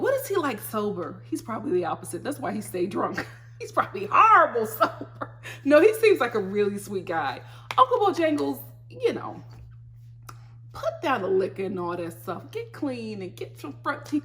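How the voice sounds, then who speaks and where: A woman giving a casual, sassy review of a reality TV episode, blunt and quick to laugh. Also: on the high side, fairly bright tone. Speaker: TV show reviewer.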